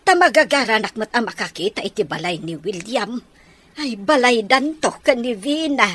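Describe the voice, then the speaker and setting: A woman's voice: on the high side, expressive, moderate pace, a bit bright, breathy edge. A woman with an Ilocano accent acting an eager, grumbling village peddler in a radio comedy.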